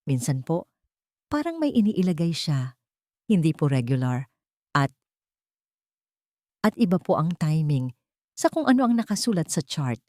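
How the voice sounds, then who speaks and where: A woman voicing an elderly female nurse in story dialogue, respectful, fearful and halting. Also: deep voice, sing-song, a little mellow, dry recording, studio quality. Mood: fearful, hesitant. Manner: deferential, halting.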